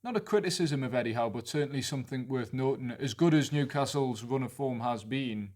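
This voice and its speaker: Geordie man, podcast co-host, casual football breakdown, mid-pitched, steady analytical flow. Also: brisk. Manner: fluent, analytical, thinks aloud with restarts.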